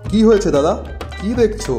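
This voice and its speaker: A man's voice: high-pitched, lively intonation, fairly quick, warm tone, faint music behind. A man voicing a stubborn, curt, greedy shopkeeper in a moral-story cartoon, with a brusque and insistent manner. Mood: defensive.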